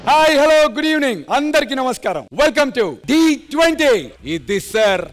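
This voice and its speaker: A man with an Indian Telugu accent hosting a dance show on stage with excited, projected announcing. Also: very high-pitched, moderate pace, noisy background. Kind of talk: high-energy stage hosting. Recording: loud music behind.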